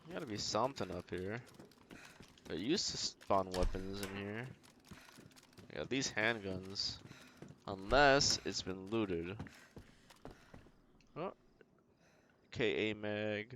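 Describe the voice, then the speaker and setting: Male game streamer, low gravelly voice, muttering short casual remarks, faint noise and keyboard clicks behind. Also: animated, slow, long pauses, fairly bright tone. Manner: talks to himself in short remarks. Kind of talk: casual gameplay commentary. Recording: noisy mic with clicks and keyboard typing. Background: keyboard typing and clicking.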